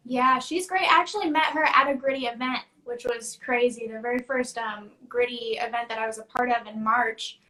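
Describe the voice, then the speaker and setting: A woman's voice: fairly high pitch, steady tone, normal speed, hardly any pauses, sharp tone, low-quality recording. Chatty young woman on a video call. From United States. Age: 20s. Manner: chatty, many fillers like "you know" and "like". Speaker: songwriter guest. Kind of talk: casual interview chat. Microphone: video call on phone.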